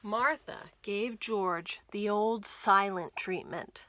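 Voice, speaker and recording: A woman in her thirties reading a picture book aloud to children, with careful, expressive storytelling. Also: medium pitch, dry recording. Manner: slow, careful, expressive delivery for young children. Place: small indoor room lined with bookshelves.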